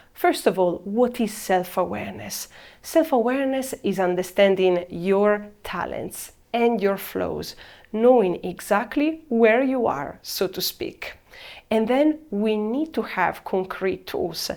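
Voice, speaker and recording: A woman in her thirties from Italy with an Italian accent, giving a measured presentation that slows down to stress key words. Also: medium pitch, vocal fry, darkish tone. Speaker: program presenter. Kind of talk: scripted presentation.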